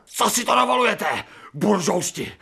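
A man's voice: high-pitched, animated, vocal fry, pushed and bright, slightly rough, full character voice. An actor playing a crude, insolent man made from a stray dog, brash and slangy, in a radio drama. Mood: insolent, threatening.

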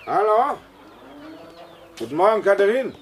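A man acting as a methodical police inspector in a radio play, speaking in a measured, confiding way. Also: high-pitched, sing-song, a little hiss or hum. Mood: hearty, brisk. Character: methodical male police inspector, musing and dutiful. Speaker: radio drama actor, narrator and lead. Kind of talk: German radio play narration and dialogue.

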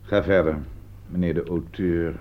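A man acting in a Dutch radio play as a gruff, authoritative older police commissioner, curt and commanding. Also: deep voice, fairly even intonation, a little slow. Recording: dull, faintly noisy radio play recording.

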